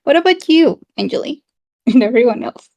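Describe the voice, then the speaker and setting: High-voiced, friendly woman hosting casually. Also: expressive, normal speed, a little mellow, highs cut off. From South America. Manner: friendly, giggly, encouraging. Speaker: town hall co-host. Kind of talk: casual community livestream chat.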